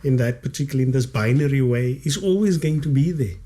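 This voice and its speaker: A man's voice: medium pitch, mellow. Thoughtful South African man in his fifties. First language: Kaaps. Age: 50s. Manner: thoughtful, emphatic, drawn-out key words. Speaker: guest author. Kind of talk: reflective podcast conversation. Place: podcast studio. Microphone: studio condenser mic with headphones.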